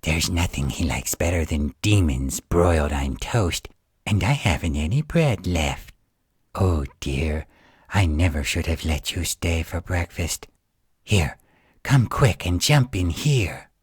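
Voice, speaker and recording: A flustered ogre wife from a storybook, played by a man, fussing in a motherly way. The voice is breathy and raised, and unhurried in pace. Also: deep voice, expressive, dry recording, studio quality, pitched above the performer's own voice, full character voice. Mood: flustered, anxious. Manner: fretful exclamations, coaxing and motherly. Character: fussy, flustered female ogre, a giant's wife. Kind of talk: storybook character voice.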